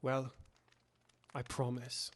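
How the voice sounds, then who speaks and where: A man acting in an audio drama as an earnest, worried Victorian gentleman, speaking formally and insistently. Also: medium pitch, completely flat delivery, extremely slow. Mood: reluctant, resigned.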